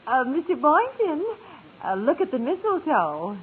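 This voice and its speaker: High-voiced, unhurried meddling matchmaker. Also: female voice, very animated. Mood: coaxing. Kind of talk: 1950s radio sitcom acting. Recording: narrow, tinny old radio recording.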